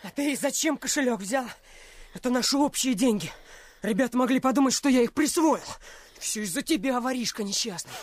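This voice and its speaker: An actor playing a spirited, insistent teenage boy in a radio play, with a husky voice and brisk colloquial talk. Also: medium pitch, animated, no echo, natural voice. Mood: scolding.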